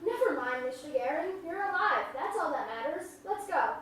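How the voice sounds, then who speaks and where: A woman acts an earnest young farm boy, pitched very high. The stage reading is heard from far off in a very echoey room. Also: lively intonation, normal speed, a bit bright. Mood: excited. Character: earnest, loyal twelve-year-old farm boy. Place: indoor venue, staged reading.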